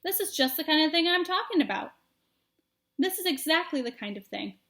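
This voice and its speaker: A woman acts out a young male mouse from a storybook, bossy and thoroughly fed up. The voice jumps up high and pushes hard on each point. He scolds in emphatic exclamations. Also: lively intonation, brisk, a bit bright. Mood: exasperated.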